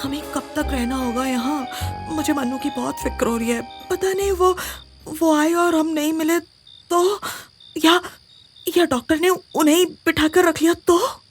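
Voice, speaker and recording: High-voiced, fretful young woman. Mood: worried. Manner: halting, repeats words, fretful. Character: anxious naive young woman hiding from hospital staff. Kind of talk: Hindi audio drama acting. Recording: music under the voice. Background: background music.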